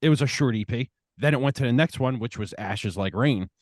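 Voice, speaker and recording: A man's voice: mid-range voice, fairly quick, no room sound, studio quality. A man co-hosting a podcast, telling a story in a laid-back way, full of "dude" and "man". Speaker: podcast co-host. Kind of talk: casual storytelling chat.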